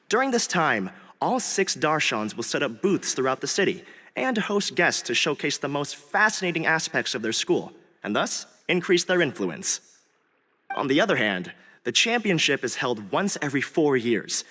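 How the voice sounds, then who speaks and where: A polite young scholar from a video game, with a bright, fairly high voice. He explains things formally and earnestly in lively intonation, with a long reverb around him. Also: moderate pace, exaggerated voice. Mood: polite, informative. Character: courteous, earnest young male academic festival organizer. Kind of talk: video game character acting. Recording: long reverb, music behind.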